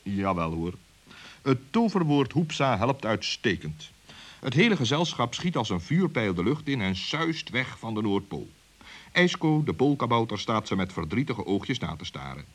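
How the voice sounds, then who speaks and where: Expressive, husky male children's storyteller. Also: medium pitch, moderate pace. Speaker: storyteller, narrator. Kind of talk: scripted children's story narration.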